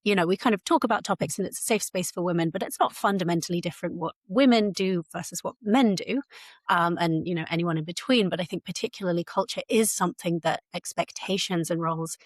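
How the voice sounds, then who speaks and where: A woman co-hosting a tech podcast, talking in a friendly, upbeat and lively way. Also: fairly low pitch, fast talker, no room sound. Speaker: podcast co-host. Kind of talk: casual podcast chat.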